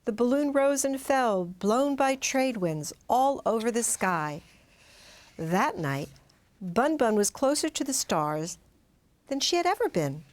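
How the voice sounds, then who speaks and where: Animated woman reading a storybook. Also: on the high side, takes it slowly. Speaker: storybook reader. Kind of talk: expressive children's read-aloud narration.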